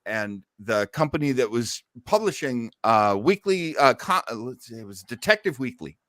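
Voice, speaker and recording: A man's voice: medium pitch, expressive, moderate pace, a bit bright, no room sound. Male podcast host, enthusiastic rambling storyteller, casual delivery, dry close recording. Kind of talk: casual chat about old time radio.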